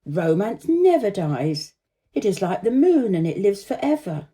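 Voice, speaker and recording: A big, self-assured male storybook firework, put on by a woman, emphatic and opinionated. The tone is very mellow, and the pitch moves boldly up and down as he pontificates. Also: very animated, measured pace. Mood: assertive.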